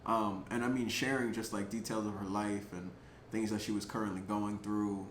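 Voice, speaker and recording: Casual male vlogger, filler-heavy, animated storyteller, echoey room. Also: on the low side, moderate pace. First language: English. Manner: chatty, lots of "like" and "um" fillers, laughs between thoughts; animated, gets louder at exciting moments. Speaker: vlogger telling a personal story. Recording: roomy echo, faint background noise.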